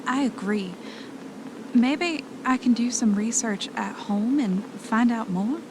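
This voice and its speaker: A woman's voice: a little high, takes it slowly. A woman playing a frightened, anxious wife in an amateur audio drama, earnest and questioning. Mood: weary, relieved. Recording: clearly noisy amateur recording.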